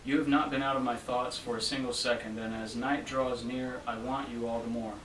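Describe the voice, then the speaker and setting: A young man reads an old love letter aloud as a student reader. His voice sits at a middle pitch. The sound is echoey and distant, with faint noise in the room. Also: little pitch movement, brisk, a bit bright. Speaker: student reader at a library event. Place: library room, reading to a small gathering. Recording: roomy, distant event recording with faint noise.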